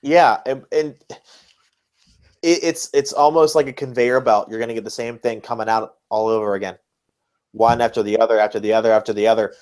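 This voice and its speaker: A man's voice: medium pitch, fairly even intonation, brisk, a little mellow. A man co-hosting a casual wrestling talk podcast, blunt and critical, often repeating phrases to hammer a point home. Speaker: podcast co-host. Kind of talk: casual wrestling commentary chat.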